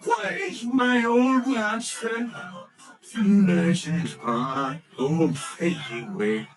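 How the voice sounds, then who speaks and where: An actor playing an old male miser in a vintage radio drama, halting and tremulous, repeating himself. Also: sits high, animated, faint music behind, exaggerated voice. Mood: delighted. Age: older.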